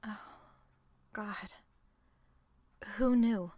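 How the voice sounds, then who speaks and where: Woman acting distressed young woman, slow halting delivery. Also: a little high, dark tone, no echo. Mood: shocked. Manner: emotional, halting, swearing. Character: distressed, guilt-ridden young woman, foul-mouthed, demanding honesty. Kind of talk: audio roleplay drama acting.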